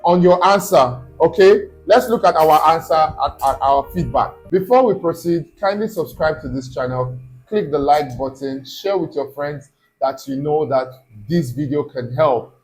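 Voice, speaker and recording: A man's voice: a little high, measured pace, soft background music, rough recording. Man explaining with frequent "okay?". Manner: explaining step by step, checking in with "okay?". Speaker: study abroad consultant. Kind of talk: screen-recorded tutorial.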